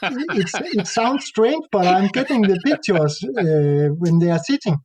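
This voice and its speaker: A Danish man speaking English with a Danish accent, talking haltingly in a casual interview and often pausing to search for words. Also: sits high, lively intonation, crowd noise. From Denmark. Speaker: nature photographer guest.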